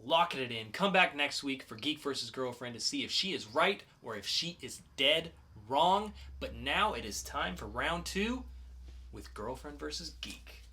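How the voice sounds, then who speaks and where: Animated, bright-voiced man co-hosting a podcast. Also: on the high side, moderate pace, slight background noise. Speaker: podcast co-host. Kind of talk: casual banter with game-show flair.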